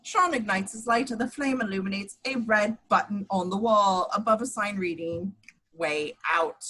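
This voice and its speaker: A woman narrates the stage action of a script. Her voice is somewhat husky, and she reads the descriptions as scripted narration at a table read. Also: medium pitch, normal speed, bright, forceful tone.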